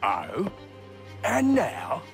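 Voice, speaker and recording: An actor playing a belligerent, taunting tough in a video game. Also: male voice, a little high, huge pitch swings, very slow, creaky, music in the background, full character voice. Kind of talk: dramatic video game acting.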